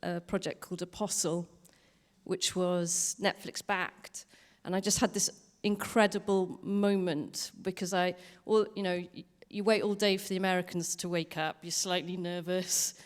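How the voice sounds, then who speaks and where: Woman, film producer, panel talk, earnest, stumbling, fairly low voice. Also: flat delivery, normal speed. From Wales. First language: Welsh. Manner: earnest, self-deprecating, stumbles over words. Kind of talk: panel discussion.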